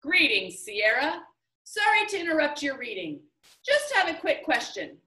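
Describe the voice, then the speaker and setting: A woman's voice: high-pitched, wildly swooping pitch, measured pace, sharp tone, pitched above the performer's own voice, exaggerated voice. A woman voicing an eccentric, cheerful male game-maker who announces things theatrically. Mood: showy. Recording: roomy, somewhat distant mic.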